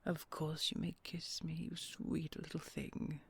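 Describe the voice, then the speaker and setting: A woman's voice: sits low, level tone, no room sound, exaggerated voice. A woman voicing a possessive female dragon queen who is fierce to rivals and tender to her treasure, often speaking in a whisper. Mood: soothing. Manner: slow, gravelly, whispered tenderness. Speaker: voice actor. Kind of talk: audio roleplay acting.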